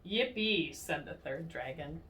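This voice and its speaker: A woman with a slightly low voice reads a children's story aloud. The microphone sounds a little distant. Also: expressive, unhurried, pushed and bright, a little hiss or hum. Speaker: storybook reader. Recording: distant mic.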